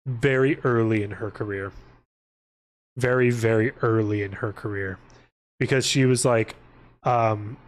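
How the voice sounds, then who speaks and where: A man live streaming, chatting casually to his viewers in an informal, rambling way with exasperated asides. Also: mid-range voice.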